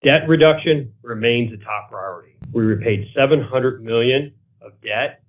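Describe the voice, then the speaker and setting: A man's voice: mid-range voice, normal speed. A male finance executive reading a financial report in a formal, matter-of-fact manner. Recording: narrow muffled conference-call phone audio.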